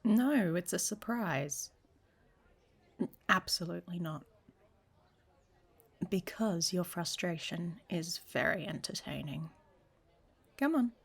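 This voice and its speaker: Woman playing a husky, teasing, worldly traveler. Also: on the low side, animated, slow, long pauses, exaggerated voice. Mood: playful bossy. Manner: teasing, often half-whispered. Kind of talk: audio roleplay addressed to the listener.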